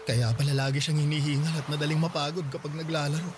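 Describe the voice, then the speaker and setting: An anxious young husband, played by a male radio drama actor, with a high voice and quick, urgent delivery. He sounds worried and insistent, over clearly heard music. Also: little pitch movement, lightly acted. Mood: agitated. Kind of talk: Tagalog radio drama acting. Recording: music behind the voice.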